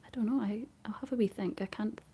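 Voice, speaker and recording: A Scottish woman whispering a rambling, chatty ASMR talk in a breathy voice, laughing often as she goes. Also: mid-range voice, fast, dark tone, a little hiss or hum. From Scotland. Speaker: ASMR creator.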